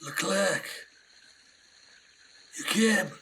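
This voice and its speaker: A man playing a brave, rough-tongued adventurer in a radio play, with a theatrical, strained delivery. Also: sits high, drawn-out words, pushed and bright, slight background noise, exaggerated voice, poor audio. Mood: weak, pained.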